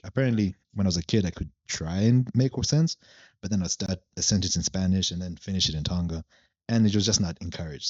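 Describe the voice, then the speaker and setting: A young man from Zambia, a podcast guest, explaining his background at length in a relaxed chat. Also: low-pitched, no echo, highs cut off. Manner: relaxed storytelling, long explanations. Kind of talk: casual chat.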